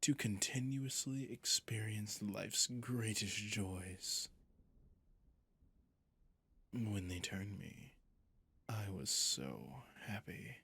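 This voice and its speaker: A man playing a sadistic, seductive vampire in an ASMR roleplay, smug and world-weary, his breathy voice often sinking to a whisper as he savours his words with intimate condescension. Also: lowish voice, extremely slow, long pauses, bright, forceful tone, full character voice. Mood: smug, persuasive. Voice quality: breathy, husky, gravelly, often dropping to a whisper. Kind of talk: scripted ASMR roleplay monologue.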